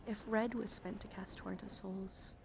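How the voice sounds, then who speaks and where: A woman hosts a casual ASMR livestream in a soft voice that often slips into a whisper. Her middle-pitched voice has a marked gravelly fry. She chats and reads aloud close to the microphone, with clear noise behind her. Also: fairly even intonation, normal speed. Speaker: live streamer hosting a trading card stream. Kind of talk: ASMR, casual card show-and-tell.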